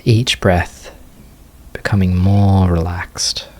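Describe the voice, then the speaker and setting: Male meditation teacher, low register, very slow delivery, short phrases separated by long pauses. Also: steady tone, a little mellow. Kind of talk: guided meditation, unscripted gentle instruction.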